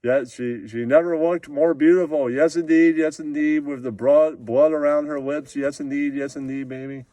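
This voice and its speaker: A man's voice: on the high side, steady tone, normal speed, hardly any pauses, mellow, no room sound, natural voice. A man voicing a smitten male puppet movie critic who draws out words and repeats catchphrases. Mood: smitten, savoring.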